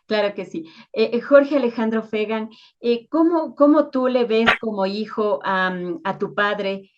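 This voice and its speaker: A woman's voice: a little high, unhurried, noisy background. A woman with a Quito Ecuadorian accent, interviewing in a conversational way. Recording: dull, lo-fi sound.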